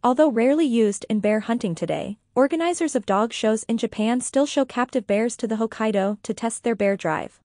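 A woman reading a scripted educational podcast narration in a measured, formal manner. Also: fairly high pitch, mellow, dry recording, clean studio sound.

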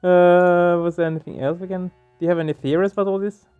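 A man giving casual let's play commentary, halting as he searches for words. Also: sits high, steady tone, clear, resonant tone. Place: small room at home, indoors. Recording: dull muffled sound, music behind. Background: game music.

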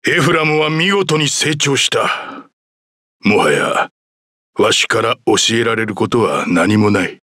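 A man's voice: on the low side, animated, moderate pace, pushed and bright, no echo, clean studio sound. A man voicing a proud old male general and mentor in a video game, bold and commanding. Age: older. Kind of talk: game voice clips.

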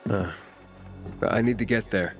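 An actor playing a guarded, determined young wanderer in a video game, speaking tersely and directly. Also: male voice, on the low side, a little slow, natural voice. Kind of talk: video game cutscene acting. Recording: music behind the dialogue. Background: game music.

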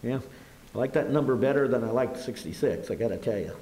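A man's voice: medium pitch, expressive, fast talker, mellow, slight background noise. A man chairing a public meeting, talking informally and plainly as he discusses matters in a council chamber. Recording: echoey meeting room.

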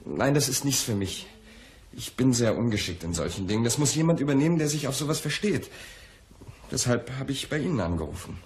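An actor in a radio play, playing an anxious, pampered young poet who pleads in a hesitant, agitated way and repeats himself. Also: male voice, medium pitch, animated, fairly quick, close to an everyday voice. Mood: uneasy, pleading. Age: young adult.

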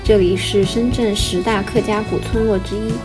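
A woman's voice: medium pitch, steady tone, normal speed. A young woman narrating her travel vlog in a light, upbeat scripted voice-over. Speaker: travel vlogger. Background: background music.

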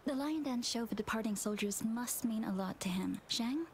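A woman playing a warm, excitable young bride-to-be in a video game. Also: on the high side, normal speed, slight background noise. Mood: excited, affectionate. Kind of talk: English video game voice acting.

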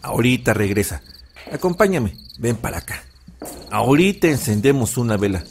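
An actor playing a gentle old Mexican village grandfather who soothingly tells ghost legends in an audio drama. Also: mid-range voice, sing-song, normal speed, slight background noise. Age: older.

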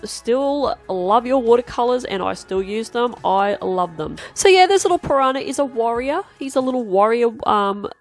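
A woman's voice: a little high, lively intonation, moderate pace, few pauses, faint music behind. Nasal, stuffed-up Australian woman. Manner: chatty, rambling, self-deprecating. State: stuffed up with a cold. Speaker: art channel host. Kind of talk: casual chat while drawing. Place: home desk, indoors.

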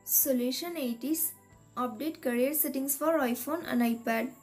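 A woman reading a scripted step-by-step tech tutorial in a measured, instructional manner. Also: sits high, darkish tone. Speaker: tutorial narrator. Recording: voice-over with music behind. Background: background music.

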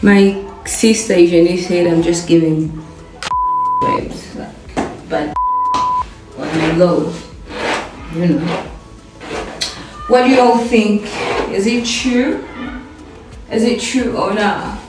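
A chatty young woman talks through getting ready, playful and prone to repeating herself. Her voice bounces off hard bathroom tiles and sits back from the camera. Soft music plays underneath. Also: mid-range voice, slow pace, pauses often. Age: young adult. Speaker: vlogger and home baker. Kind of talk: casual get-ready-with-me vlog chat. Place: bathroom with tiled walls and glass shower. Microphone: camera set back across the room. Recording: echoey bathroom, heard from a distance, soft music.

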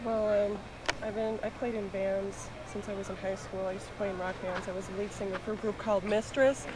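A woman's voice: medium pitch, flat delivery, a little fast. A woman singer answering interview questions on a ball field, modest and a little nervous. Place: outdoor baseball field. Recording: loud background noise on camcorder.

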